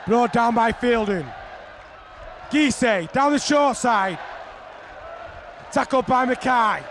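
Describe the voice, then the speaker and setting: A man giving excited play-by-play commentary on a rugby league match, often shouting over the action. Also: extremely high pitch, takes it slowly. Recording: archive broadcast with crowd noise.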